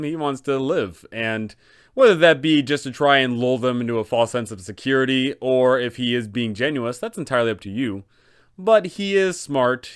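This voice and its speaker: A man's voice: medium pitch, smooth. A man hosting an explainer for game masters, giving guiding commentary on role-playing. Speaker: host, tabletop game guide.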